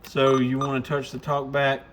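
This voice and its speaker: A man's voice: mid-range voice, completely flat delivery, moderate pace, a little hiss or hum. A man chatting in an informal, folksy way as he gives a casual product demonstration like a shop presenter.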